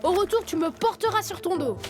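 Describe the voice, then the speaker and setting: Playful tiger cub boy, teasing and loyal, really high voice, music going behind, woman doing him. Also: animated, fairly quick. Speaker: dubbing voice actor. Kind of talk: children's cartoon dubbing.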